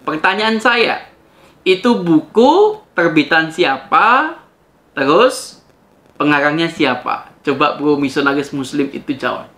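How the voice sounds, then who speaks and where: Guy, voice a bit on the high side, preachy rebuttal to viewers, emphatic and challenging. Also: animated, slow pace, fairly bright tone. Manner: emphatic, challenging, uses rhetorical questions.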